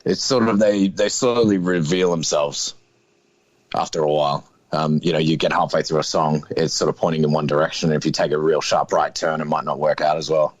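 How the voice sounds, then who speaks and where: A deep, low-voiced man in his thirties, talking as an interview guest. He is laid-back and hedges every point with fillers and "sort of". The sound is dry and close, with no room around it. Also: fairly even intonation, fairly quick, dry recording, highs cut off. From Australia. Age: 30s. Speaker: guest musician. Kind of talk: casual interview chat.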